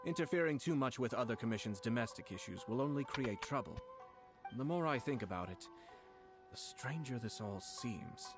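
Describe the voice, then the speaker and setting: An actor playing a shrewd, composed young nobleman in an anime game, speaking in a measured, formal and deliberate manner. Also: mid-range voice, lively intonation, natural voice. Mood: analytical. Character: composed, shrewd young noble clan lord, calculating and polite. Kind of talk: anime game voice acting, expository dialogue. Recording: loud game music behind the voice.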